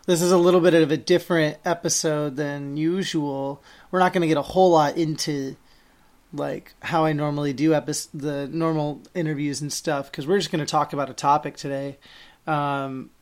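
A woman's voice: sits low, a little mellow. A woman hosting a podcast, interviewing a friend in a playful, teasing way. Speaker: podcast host. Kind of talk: casual interview chat.

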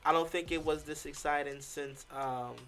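A man's voice: fairly high pitch, fairly even intonation, normal speed, a bit bright, clear, resonant tone. A man co-hosting a casual podcast, giving emphatic opinions with plenty of fillers. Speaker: podcast co-host. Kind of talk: casual chat.

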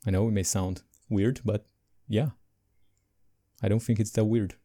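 A man with English as a second language, co-hosting a podcast in casual chat, animated and laughing between points. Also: on the low side, measured pace. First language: not English. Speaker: podcast co-host.

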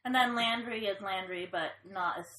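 Wry young woman, bright voice, echoey. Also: mid-range voice, normal speed. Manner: wry, deadpan jokes, giggles. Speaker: co-host of a movie chat. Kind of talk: casual chat. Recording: echoey room.

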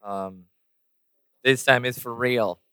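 A man's voice: medium pitch, steady tone, slow, sharp tone, smooth, dry recording. A man preaching a sermon and praying, losing his voice a little, with many fillers and restarts. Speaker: pastor. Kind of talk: sermon with prayer.

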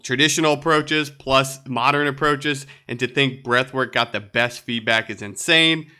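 A man's voice: mid-range voice, fairly even intonation, moderate pace, pushed and bright, clean tone. A man hosting a solo podcast, sounding nasal and congested, upbeat and chatty with light laughs. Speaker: podcast host.

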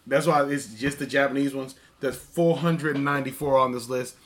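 A man's voice: medium pitch, fairly even intonation, moderate pace, fairly bright tone. A man, rambling casually and swearing freely. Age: early 30s. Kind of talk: casual chat.

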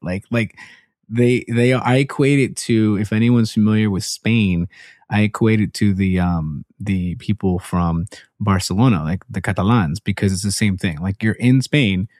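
A man hosting a podcast interview in a casual, chatty way, friendly and quick to laugh. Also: on the low side, darkish tone, no room sound, clean studio sound. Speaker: podcast host.

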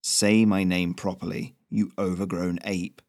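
A man's voice: low voice, flat delivery, measured pace, a little mellow, dry recording. A man voicing a grumpy male messenger goose, speaking in a dry, weary, grumbling way.

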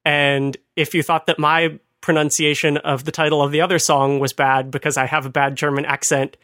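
Higher-pitched man, dry close sound. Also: level tone, moderate pace, sharp tone, no room sound. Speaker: podcast host. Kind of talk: conversational retro computing commentary.

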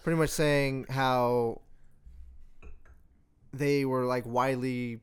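A man's voice: mid-range voice, level tone, slow, smooth. A young man co-hosting a podcast, explaining things informally in a hesitant, filler-heavy way. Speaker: podcast co-host. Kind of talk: casual chat, informal explaining.

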